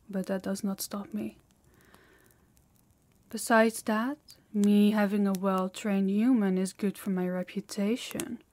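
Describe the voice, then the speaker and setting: A woman's voice: medium pitch, dry recording, natural voice. A woman playing a harsh, dominant demon in an intimate audio roleplay, commanding and menacing, often dropping toward a whisper. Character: harsh, dominant female demon training a human captive.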